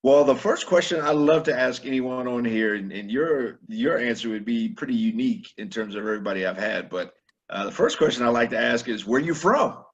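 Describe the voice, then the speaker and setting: A man's voice: mid-range voice, expressive, a little fast, slightly rough, lo-fi sound. A man hosting a casual interview over a webinar call, rambling with stammered restarts and slangy phrasing. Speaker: host. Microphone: webinar video call.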